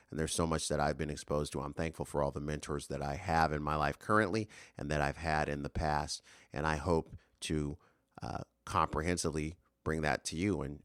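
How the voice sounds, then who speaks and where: A man's voice: extremely low pitch, a bit bright, clear, resonant tone, no room sound, studio quality. A man in his thirties hosting a solo motivational podcast, earnest and rambling with fillers and restarts. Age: 30s. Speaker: podcast host.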